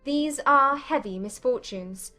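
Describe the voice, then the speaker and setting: A woman playing a poised, defiant young gentlewoman in a dramatized audiobook, composed, articulate and firm. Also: sits high, slow pace, faint music behind, exaggerated voice. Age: young adult.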